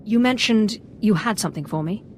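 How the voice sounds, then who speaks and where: An actress playing a young, self-possessed Sith apprentice in a video game, composed and coolly formal. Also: female voice, mid-range voice, expressive, brisk, slight background noise, lightly acted. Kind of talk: video game cutscene acting.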